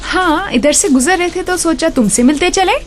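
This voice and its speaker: A woman playing a frank, easygoing wife in a radio drama, talking casually and gently correcting her friend. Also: very high voice, moderate pace, slight background noise. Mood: casual, teasing.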